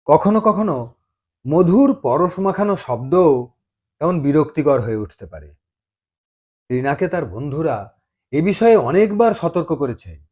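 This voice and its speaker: Expressive, higher-voiced man narrating a story. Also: measured pace, pauses often, breathy edge.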